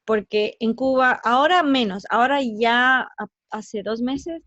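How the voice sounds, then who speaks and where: A woman with a slightly high voice speaks as an interview guest, explaining her work. She comes from Ecuador and grew up in the United States. The sound is very dry but somewhat muffled and lo-fi. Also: measured pace, a bit bright, no room sound. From born in Ecuador, raised in the United States. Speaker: interview guest, teacher and columnist.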